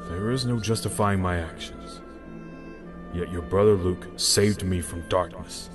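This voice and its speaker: An actor in an audio drama playing a repentant dark lord, a father's ghost, speaking gravely and formally with earnest pleading. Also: low-pitched, measured pace. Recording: music loud behind the voice.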